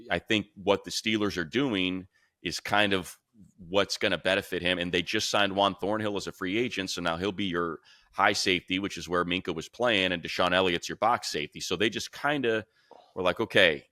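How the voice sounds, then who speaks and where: A man's voice: low-pitched, sharp tone, no echo, clean studio sound. A man co-hosting a sports podcast as an announcer, talking casually and informally with rambling asides. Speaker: sports radio announcer and co-host.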